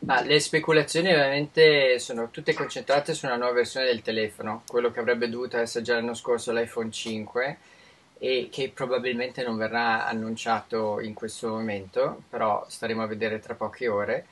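A man from Italy answering interview questions as a tech expert, explaining in a measured, informative way with frequent restarts. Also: medium pitch, little pitch movement, a bit bright.

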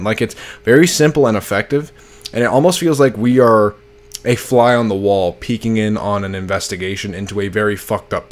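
Blunt, sweary young man. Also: medium pitch. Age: young adult. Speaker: video reviewer of horror series. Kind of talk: casual commentary to camera. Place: bedroom, indoors. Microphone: close desk microphone, with headphones.

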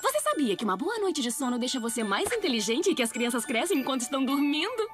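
A woman voicing a bookish, self-assured mother who lectures gently in a Brazilian Portuguese cartoon dub. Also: high voice, big pitch swings, fast talker. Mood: lecturing. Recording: loud music behind the voice.

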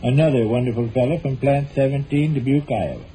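An actor playing a stubborn, long-suffering husband with dry, pompous formality in a 1940s radio comedy. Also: male voice, medium pitch, fast, mellow, natural voice. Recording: muffled, noisy old 1940s radio broadcast.

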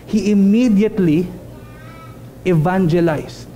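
A man's voice: high-pitched, measured pace, mellow, faint music behind. A man in his thirties preaching a sermon in a small church hall, earnest and emphatic, repeating phrases for stress.

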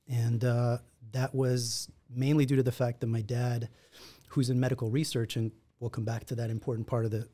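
A man in his forties from Israel, a podcast guest, telling his story in a reflective, measured way at a studio mic. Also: medium pitch, dark tone. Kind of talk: conversational storytelling. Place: podcast studio in a martial arts gym. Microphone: boom-arm studio mic with headphones.

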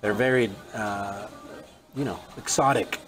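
A man's voice: on the low side, a little slow, music in the background. Mexican restaurant owner in his forties, casual and rambling, speaking outdoors. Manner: rambling with many restarts and fillers. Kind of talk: casual interview. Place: outdoors at a farm and on a restaurant patio.

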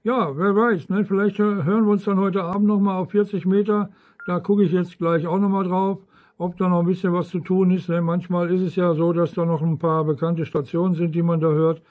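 A man's voice: high voice, flat delivery, a little fast, darkish tone. A man chatting casually as an amateur radio operator, rambling along and often tacking questions onto his sentences. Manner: rambling, frequent tag questions. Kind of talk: casual ham radio chat.

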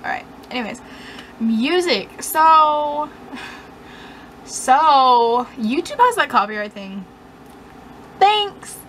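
Casting: young woman, slightly high voice, sarcastic self-deprecating vlogger, webcam in a dim bedroom, faint hiss. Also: very animated, slow, pauses often, a bit bright, poor audio. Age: young adult. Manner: rambling, sarcastic and self-mocking. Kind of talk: casual chat to camera.

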